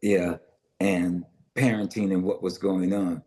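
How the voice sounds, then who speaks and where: An older man from Los Angeles, a guest author and publisher, answering interview questions with many pauses and fillers. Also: deep voice, level tone, darkish tone. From Los Angeles, United States. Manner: halting, many "uh" fillers and restarts. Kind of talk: conversational interview answers, then reading aloud.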